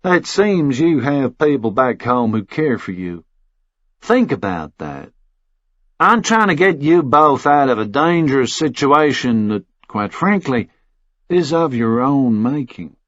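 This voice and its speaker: A man voicing a cold, formal Texan official in an audiobook, measured, condescending and threatening. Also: mid-range voice, sing-song, no room sound, lo-fi sound. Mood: quiet menace.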